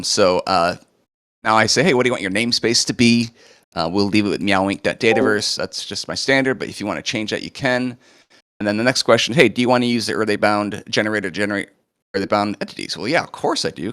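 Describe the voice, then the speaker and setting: A man presenting a live coding demo, chatting casually with lots of fillers, his voice a bit hoarse as he recovers from illness. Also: lowish voice, a bit bright, no room sound, studio quality. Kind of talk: live screen-share walkthrough.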